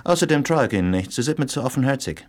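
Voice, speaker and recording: An actor in a German radio drama playing a threatening, suspicious foreign correspondent who needles and probes sardonically. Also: male voice, mid-range voice, animated, fast, lightly acted. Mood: probing, sardonic.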